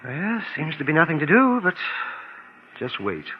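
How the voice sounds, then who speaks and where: Fussy, shrewd radio lawyer, highish voice. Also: male voice, huge pitch swings. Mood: fussy, polite. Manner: probing, measured, formal. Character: shrewd, fussy attorney quietly trapping a suspect. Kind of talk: 1940s radio suspense acting. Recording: dull, noisy old radio recording.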